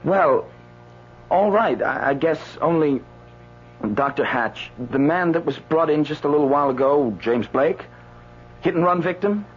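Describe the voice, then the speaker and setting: A man's voice: a little high, expressive, a little slow. An actor in a radio drama playing an anxious, rattled doctor, hesitant and repeating himself as he talks. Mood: nervous, deferential. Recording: dull old radio broadcast, faint hum.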